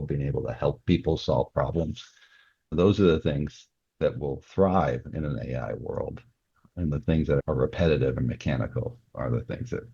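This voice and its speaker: A man's voice: extremely low pitch, dark tone, slightly rough, no room sound, dull audio. A man talking casually as a podcast guest, explaining his views earnestly with examples. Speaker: security company worker.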